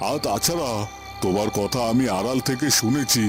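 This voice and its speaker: A man's voice: mid-range voice, moderate pace, close to an everyday voice. A man playing a stern, righteous village headman and family patriarch in a cartoon, speaking in a scolding, authoritative way. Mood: stern, demanding. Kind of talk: dramatic cartoon voice acting. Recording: music behind the voice.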